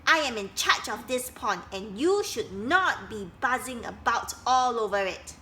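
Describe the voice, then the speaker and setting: A bossy little duck who thinks he runs the whole pond snaps out rules in a loud, commanding voice, as a woman reading a storybook puts him on. The voice is bright and sits fairly high. Faint noise hums underneath. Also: animated, takes it slowly. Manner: loud and commanding, snapping orders. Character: bossy, self-important male duck in charge of a pond.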